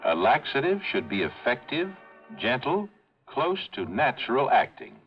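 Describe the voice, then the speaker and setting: An actor playing an earnest, authoritative doctor in a 1950s radio advertisement. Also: male voice, medium pitch, big pitch swings, normal speed. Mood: earnest, assured. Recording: dull vintage radio recording, faint music.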